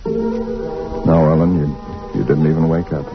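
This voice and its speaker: An American actor playing a haunted husband, narrating with deliberate, suspenseful dread in a vintage radio drama. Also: male voice, very deep voice, huge pitch swings, creaky, full character voice. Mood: uneasy, evasive. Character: haunted husband recounting horror, dread-filled and restrained. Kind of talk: 1940s radio drama acting, first-person narration. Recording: crackly vintage radio recording, muffled, music behind.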